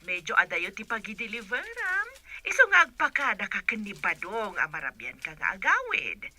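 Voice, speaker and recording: Babaeng gumaganap na masiglang kaibigang nag-aalok ng trabaho. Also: female voice, medium pitch, wildly swooping pitch, normal speed, bright, forceful tone, heavily acted. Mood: persuading. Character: brisk woman recruiting a courier. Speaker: Merta, a visitor offering delivery work. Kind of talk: Ilocano radio drama acting.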